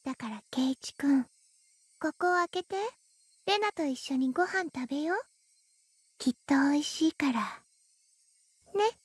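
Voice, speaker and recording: A woman's voice: sits high, expressive, a little fast, pauses often, no room sound, clean studio sound. A woman playing a sweetly pleading, eerily insistent teenage girl in an anime visual novel, with a childlike, coaxing manner.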